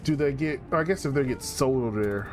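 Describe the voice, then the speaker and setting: A man of average pitch comments casually on a game. He thinks aloud, rambling in slangy, colloquial phrasing. Soft music is audible behind him. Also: a little fast. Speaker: let's play host.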